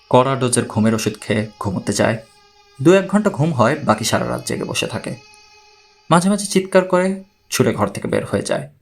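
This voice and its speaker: A man playing a troubled, courteous man who gravely recounts his own strange story in an audiobook. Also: mid-range voice, full character voice.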